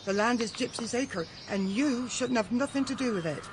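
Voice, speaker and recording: An actress playing a blunt, foreboding rustic fortune-teller in a radio drama. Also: female voice, on the high side, moderate pace, a little hiss or hum, close to an everyday voice. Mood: ominous. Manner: blunt, foreboding, non-standard grammar. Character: ominous rustic fortune-teller woman, wary, warning. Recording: outdoor ambience with birds behind.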